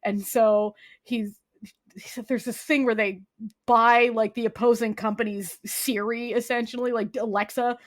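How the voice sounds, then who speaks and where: A woman's voice: a little high, flat delivery, normal speed. Chatty young woman, lots of "like". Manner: rambling, full of "like", breaks into laughter. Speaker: podcast co-host. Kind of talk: casual chat recommending shows.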